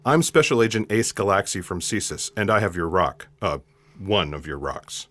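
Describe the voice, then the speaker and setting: An actor playing an earnest, literal-minded special agent with a polite, formal, deadpan manner in a comic audio drama. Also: male voice, sits low, normal speed, a little hiss or hum. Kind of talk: comic sci-fi audio theatre acting.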